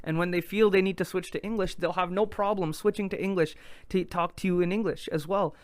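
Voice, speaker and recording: A man's voice: high-pitched, steady tone, a little fast, dry recording. A man from Quebec hosting a podcast, chatting casually and rambling with many restarts. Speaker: podcast host.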